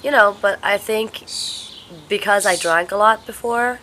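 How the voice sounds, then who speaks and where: A young woman vlogging casually at home, stuffed up and congested, talking in a slow, halting, tired way. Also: mid-range voice, bright, forceful tone, slight background noise. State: congested, sniffly with a cold. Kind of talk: casual vlog chat. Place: home, indoors.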